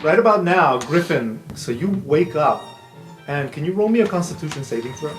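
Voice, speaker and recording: A man's voice: on the high side, lively intonation, moderate pace. A man narrating a tabletop roleplaying game as game master, casual and improvised. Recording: roomy sound with music behind.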